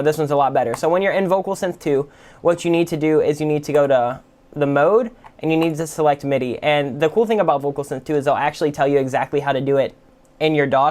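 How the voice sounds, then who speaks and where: A man giving a casual, conversational software tutorial as the host. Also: a little high. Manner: informal, conversational. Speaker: music production tutorial host. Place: indoors at a computer.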